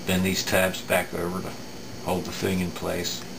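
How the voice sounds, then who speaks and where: A middle-aged man giving an unscripted hands-on repair demonstration in a halting, matter-of-fact way, recorded at an indoor workbench. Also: low-pitched, creaky. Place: indoor home electronics workbench. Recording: steady room hum, air-conditioning noise.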